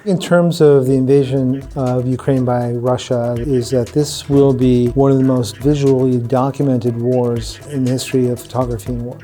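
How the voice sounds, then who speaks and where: A man gives measured commentary in an interview. His voice is mid-pitched and soft-edged, mellow in tone, with quiet guitar music underneath. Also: fairly even intonation. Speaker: conference participant, commentator.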